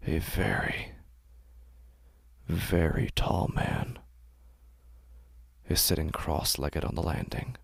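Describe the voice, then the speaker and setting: A man narrating a scripted horror story in a hushed, slow delivery that often drops to a whisper, recorded on a close studio mic. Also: a bass voice, animated, vocal fry, husky, dry recording. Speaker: narrator and host.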